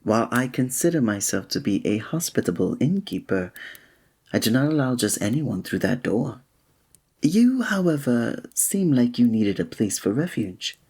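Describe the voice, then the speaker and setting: An actor playing a flirtatious, courtly male village innkeeper in an ASMR roleplay, speaking in a formal, breathy way. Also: medium pitch, very animated, moderate pace, darkish tone. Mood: welcoming.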